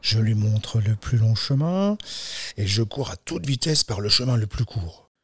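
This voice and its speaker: A man's voice: lively intonation, husky, dry recording, studio quality, heavily acted. A man voicing a big, sly, boastful wolf in a children's fairy tale, with a smug, scheming drawl. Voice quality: deep voice in the story, slow deliberate delivery.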